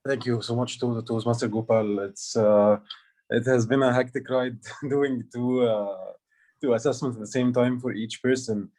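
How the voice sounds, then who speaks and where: A man's voice: mid-range voice, fairly even intonation, normal speed, darkish tone. A man from Egypt, not an English speaker, giving a meeting report on a video call with many fillers and restarts. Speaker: aha counter and grammarian. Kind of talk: online club meeting report.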